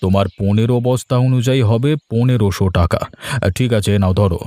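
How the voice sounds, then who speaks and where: A man's voice: fairly low pitch, a little fast, mellow, no room sound, lightly acted. A man voicing an authoritative middle-aged village headman who gives orders in a cartoon story. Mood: businesslike.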